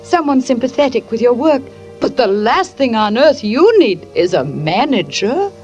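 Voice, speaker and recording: An actress playing a sympathetic, gently flattering woman, soothing and coaxing in 1940s radio suspense style. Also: on the high side, moderate pace. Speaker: radio drama actor. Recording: muffled old radio recording with music.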